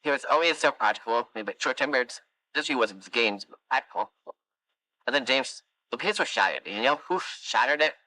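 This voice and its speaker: A man's voice: medium pitch, lively intonation, a little fast, sharp tone, dry recording, low-quality recording. A man voicing a chatty animatronic who tells long, wandering stories in a game. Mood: rambling. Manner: long-winded, wandering anecdotes, dry musings. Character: chatty rambling animatronic, wistful storyteller. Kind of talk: fan game voice lines.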